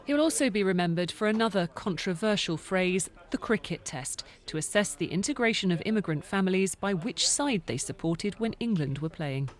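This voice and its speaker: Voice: medium pitch, animated, fairly quick, hardly any pauses. A reporter reading a scripted obituary narration for a television news report.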